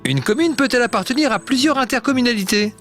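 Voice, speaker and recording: A woman's voice: a little high, lively intonation, fast talker, a bit bright. A woman reading scripted questions for an educational explainer. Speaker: question asker. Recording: music behind the voice. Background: music.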